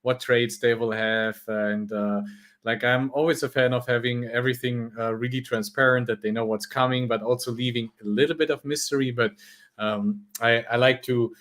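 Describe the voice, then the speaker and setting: A man's voice: mid-range voice, fairly even intonation, normal speed, a bit bright. A man co-hosting a live stream in casual chat, talking hesitantly with many fillers and restarts. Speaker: stream co-host.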